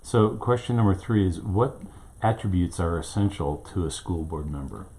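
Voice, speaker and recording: A man's voice: low voice, lively intonation, normal speed, a little mellow. A man who owns a small local TV station, asking interview questions in a casual, rambling way with many fillers, from off camera in his small studio. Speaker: local TV station owner and host.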